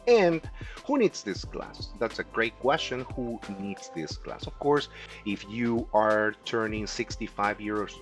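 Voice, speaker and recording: A man's voice: lowish voice, lively intonation, unhurried, soft background music. A man from Peru with a Peruvian Spanish accent, presenting an educational class as an insurance agent and often checking in with "okay?". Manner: friendly, often adds "okay?" and restarts phrases.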